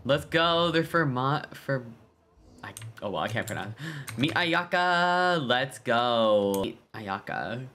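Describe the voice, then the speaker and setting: A man's voice: mid-range voice, animated, takes it slowly, sharp tone, a little hiss or hum. A man giving casual live reaction commentary to a game, gushing and exclamatory with frequent gasps.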